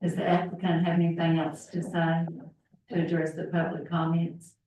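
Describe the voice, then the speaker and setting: A woman chairing a town board meeting, reading procedure in a flat manner. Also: fairly low pitch, moderate pace, a little hiss or hum. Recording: echoey room from far off.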